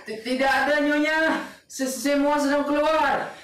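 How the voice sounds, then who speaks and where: A man's voice: very high voice, little pitch movement, measured pace, fairly bright tone. A frightened servant, timid and stammering. He speaks in an exaggerated stage manner. The sound is distant, with strong echo around it. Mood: fearful. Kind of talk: theatrical stage acting. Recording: echoey hall, distant microphone.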